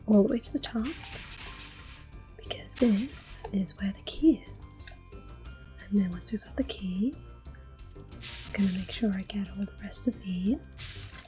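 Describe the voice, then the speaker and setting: A woman whispering soft-spoken commentary while she plays a video game for an ASMR audience, close to the microphone. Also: medium pitch. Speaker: ASMR gaming host.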